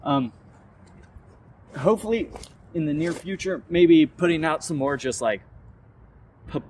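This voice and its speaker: A man's voice: on the high side, animated, a little slow, darkish tone, recorded from a distance, a little hiss or hum. A man telling a comic story aloud, reading dramatically with informal asides and stumbling self-corrections.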